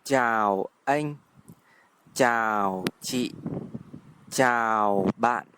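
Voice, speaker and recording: A man from Vietnam with a Vietnamese accent, a native speaker teaching his language in English with careful, deliberate explanations. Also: fairly low pitch, noisy background. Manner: careful, deliberate, spells out words. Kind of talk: scripted language lesson.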